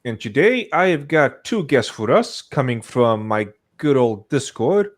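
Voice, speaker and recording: A man's voice: mid-range voice, big pitch swings, a little slow. A man hosting a casual livestream chat, talking in a jokey, playfully self-important way. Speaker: podcast host.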